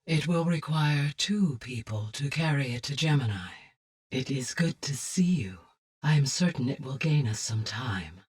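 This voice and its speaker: A woman voicing a calm, courteous, motherly artificial intelligence in a video game, speaking softly with measured, precise, formal diction. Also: extremely low pitch, breathy edge, far from the mic, exaggerated voice. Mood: gentle, welcoming. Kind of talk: scripted video game voice acting.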